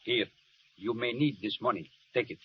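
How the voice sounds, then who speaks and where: An actor playing an affectionate Italian army friend with an Italian accent and sing-song broken English in an old radio drama. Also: male voice, mid-range voice, moderate pace, natural voice. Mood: sheepish, affectionate. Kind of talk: 1940s radio drama acting. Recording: muffled, noisy vintage radio recording.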